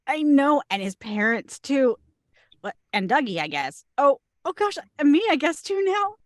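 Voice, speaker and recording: A woman playing an excited, friendly bride-to-be in a comedy table read, eager and bubbly. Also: sits high, normal speed, no room sound, studio quality.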